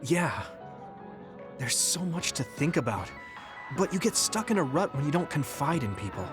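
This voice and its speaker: A man's voice: a little high, very animated, moderate pace, music in the background. An actor playing an earnest, supportive and self-assured teenage boy soldier in a video game. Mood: earnest, grateful. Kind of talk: English video game voice acting.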